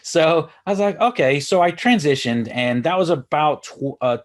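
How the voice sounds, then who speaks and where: A man's voice: fairly high pitch, expressive, normal speed. A man in his forties from Texas with a mixed American and Irish accent, a guest telling his story with eager, restarting talk. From Houston, Texas. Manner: enthusiastic, many restarts and fillers. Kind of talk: casual interview talk.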